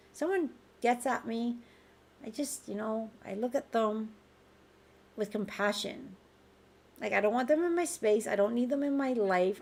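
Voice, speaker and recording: A woman with a raspy voice hosting a live group healing call. She speaks in a gentle, reassuring and informal way. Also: fairly high pitch. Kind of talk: live-streamed conversational healing talk. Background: faint background music.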